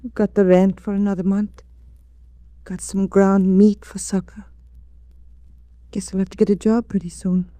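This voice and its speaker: A woman's voice: mid-range voice, flat delivery, normal speed, pauses often, mellow, dry recording, lightly acted. An actress playing a weary, subdued young widowed mother, bitter but loyal, in a 1940s radio drama. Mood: despondent.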